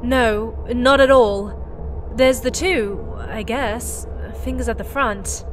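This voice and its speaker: Actress as wary woman, high voice, slow drawn-out delivery, hesitant, sci-fi audio drama. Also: soft background music. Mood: uneasy.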